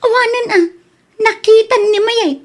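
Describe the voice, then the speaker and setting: A woman's voice: very high voice, normal speed. A Philippine-accented woman playing a defensive, evasive married woman accused of petty theft in a comedy radio drama. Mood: scolding, fretful.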